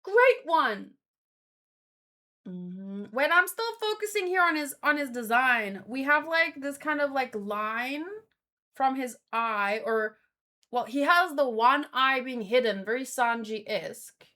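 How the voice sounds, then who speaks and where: A woman hosting a read-along in a casual live commentary style, with expressive, exclamatory reactions. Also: sits high, slow. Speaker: host of a manga read-along stream.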